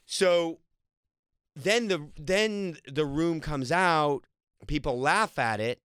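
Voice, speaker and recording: A man's voice: on the high side, slow pace, clean tone, dry recording, clean studio sound. A man telling stories about making a film, talking casually and rambling with frequent laughs. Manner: rambling, animated storytelling with laughs. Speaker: actor and director. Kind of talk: casual interview talk.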